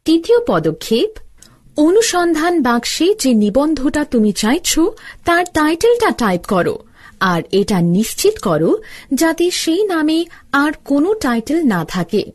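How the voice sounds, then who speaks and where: A woman with a high, very lively voice narrates an educational tutorial. She gives scripted instructions step by step, speaking straight to the learner, in a dry, close recording. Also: unhurried, dry recording. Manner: instructional, addressing the learner directly.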